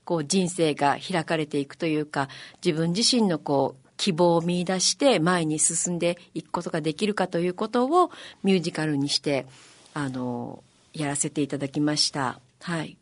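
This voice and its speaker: A woman with a slightly low voice explains things as an interview guest. She is polite and hesitant, often pausing on small filler words as she builds her sentences. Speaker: guest, amateur musical performer.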